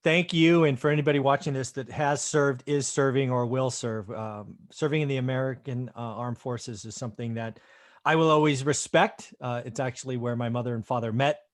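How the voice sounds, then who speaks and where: A man's voice: medium pitch, fairly even intonation, moderate pace. A man hosting an interview show, upbeat and enthusiastic with his guest. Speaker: host.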